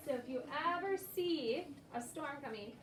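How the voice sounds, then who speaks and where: A woman's voice: high-pitched, lively intonation, normal speed. A woman schoolteacher explaining things simply to young children and checking with short tag questions. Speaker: elementary school teacher. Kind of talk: classroom teaching of young children. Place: indoor school classroom. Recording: roomy, distant mic, noisy classroom. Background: classroom noise and children.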